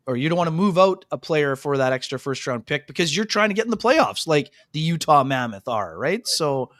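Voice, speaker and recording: A man's voice: mid-range voice, a bit bright, no echo. A man co-hosting a hockey radio show, talking casually with many "you know" fillers and calling his co-hosts "fellas". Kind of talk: casual sports talk radio chat. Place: radio studio.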